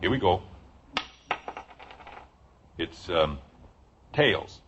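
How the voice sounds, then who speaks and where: A man's voice: low-pitched, animated, extremely slow, a bit bright, heavily acted. An American actor playing an exasperated but affectionate middle-aged father in a 1950s radio sitcom. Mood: calm, kindly. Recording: muffled, hissy old radio recording.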